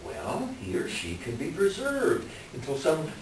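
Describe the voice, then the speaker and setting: A man playing a hapless middle-aged swindler doctor in a staged reading, pleading and self-deprecating, with a halting, wheedling delivery. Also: on the high side, wildly swooping pitch. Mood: smooth, evasive. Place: echoey room, far from the mic. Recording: distant echoey room with hiss.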